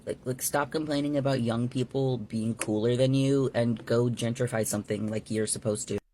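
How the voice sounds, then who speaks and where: A man's voice: mid-range voice, steady tone, normal speed, mellow, a little hiss or hum. A man ranting scornfully in a casual social media video, with many fillers.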